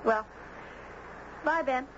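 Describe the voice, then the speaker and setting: A woman playing a mysterious, artless teenage girl with a clear young voice and a simple, direct manner in a 1970s radio drama. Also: high-pitched, drawn-out words. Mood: curious. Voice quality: clear, youthful. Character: mysterious teenage island girl, artless, curious, self-possessed. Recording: dull, noisy old radio broadcast.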